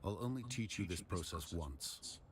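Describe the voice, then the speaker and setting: An actor playing a stern, formal swordmaster and teacher in a video game, speaking in a measured way. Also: male voice, sits low, animated, slight background noise. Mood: stern, instructive. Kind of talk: video game dialogue acting. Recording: music playing behind the voice. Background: game music.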